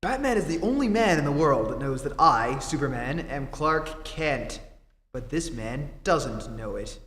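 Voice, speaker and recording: A man's voice: medium pitch, lively intonation, measured pace, fairly bright tone. Man acting earnest radio-serial hero in echoey hall. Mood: suspicious, firm. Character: earnest, determined adult male reporter who is secretly a superhero. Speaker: amateur theatre actor. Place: theatre stage before a live audience. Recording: echoey hall, live stage performance, noisy.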